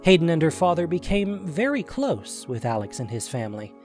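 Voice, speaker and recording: A man narrating a scripted audio drama in an expressive, measured storytelling manner. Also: a little high, soft background music.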